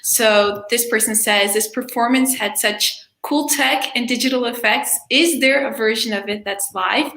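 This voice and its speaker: A young woman hosts a video-call Q&A, her tone bright and lively. The room rings a little around her voice, and she stays encouraging as she passes along audience questions. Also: fairly high pitch, unhurried, few pauses. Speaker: interviewer, student. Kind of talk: moderating a video Q&A. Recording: roomy video call audio.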